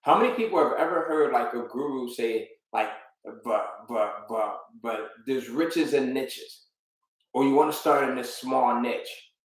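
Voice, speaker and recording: A man coaching a live webinar audience, emphatic and energetic, with a steady mid-range voice. He keeps asking his viewers rhetorical questions. The sound bounces around a very echoey room. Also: mid-range voice, unhurried. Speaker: online business coach. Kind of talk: live webinar teaching, talking to chat viewers.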